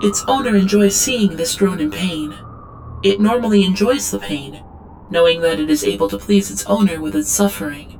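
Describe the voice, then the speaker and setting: A woman's voice: mid-range voice, normal speed, gravelly, darkish tone, slight background noise, natural voice, rough recording. A woman playing an obedient female servant drone in an audio drama, reporting in a stilted, clinical third-person manner. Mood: clinical, subdued. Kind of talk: scripted audio drama monologue.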